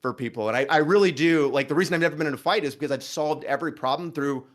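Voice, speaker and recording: A man in casual conversation, talking earnestly and haltingly, often repeating himself as he goes. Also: a little high. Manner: earnest, halting, hedging with repetitions. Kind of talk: casual chat.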